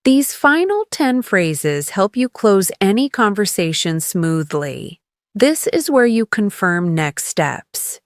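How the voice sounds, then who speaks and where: A woman leading a scripted English lesson as a teacher, encouraging the learner and clearly enunciating model phrases for repetition. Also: lowish voice, dry recording, clean studio sound. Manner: encouraging coaching.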